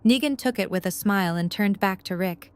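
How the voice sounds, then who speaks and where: Woman reading story narration, medium pitch, really clean smooth voice. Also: fairly quick, darkish tone.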